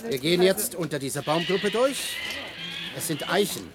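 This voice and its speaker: An actor playing an officious, pedantic tour guide in a radio play, lecturing in a declamatory way and giving stern commands. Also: male voice, high-pitched, expressive, moderate pace, over a noisy crowd. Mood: lecturing, showy.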